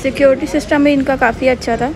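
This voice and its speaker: High-voiced woman vlogging a meal. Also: steady tone, music in the background. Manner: chatty, enthusiastic, with frequent filler pauses. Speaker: food vlogger. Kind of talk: casual restaurant review vlog. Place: rooftop restaurant at night, outdoors. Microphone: phone held at arm's length. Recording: loud traffic noise around. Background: traffic noise.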